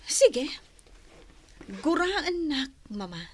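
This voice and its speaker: A woman's voice: high-pitched, very animated, very slow, full character voice. A woman playing a frank, stubborn daughter in an Ilocano radio drama, earnest and emotional. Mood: upset. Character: frank, stubborn grown daughter standing up to mother.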